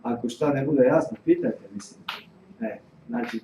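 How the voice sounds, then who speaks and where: A man's voice: medium pitch, moderate pace. A man introducing a guest speaker to a class, hesitating with many fillers. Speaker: course organizer introducing the speaker. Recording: distant, echoey, noisy room recording.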